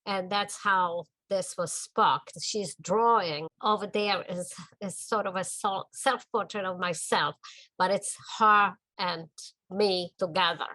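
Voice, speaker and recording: An older woman from the Czech Republic with a Czech accent, talking about her art in a documentary interview in her home studio, gentle and thoughtful with small pauses. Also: mid-range voice, steady tone, dry recording, rough recording. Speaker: artist.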